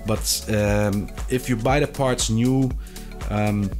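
A man in his thirties presenting a product review to camera, with a hesitant, halting delivery and frequent pauses. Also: lowish voice, fairly even intonation, a little mellow, soft background music. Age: 30s. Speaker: video reviewer of sim racing gear. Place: small indoor hobby room.

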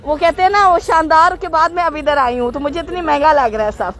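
A woman's voice: high voice, fairly quick, a bit bright. A Pakistani woman hosting a casual live market vlog, chatty and playful, switching into English phrases, her voice slightly muffled by a mask. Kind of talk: casual live vlog chat, greeting viewers and haggling. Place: open-air bazaar, outdoors. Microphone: handheld phone. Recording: busy outdoor market crowd behind.

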